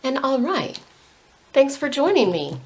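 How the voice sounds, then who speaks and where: A woman's voice: sits high, very animated, unhurried. A young woman chatting casually while painting her nails, rambling and full of "like". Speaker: beauty vlogger.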